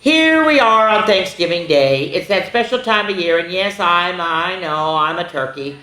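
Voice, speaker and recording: A woman voicing a proud, excitable turkey who tells its tale in dramatic picture-book rhyme. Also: fairly low pitch, moderate pace. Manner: boastful, dramatic rhyming storytelling. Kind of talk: rhyming picture-book read-aloud. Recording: roomy, echoing room sound.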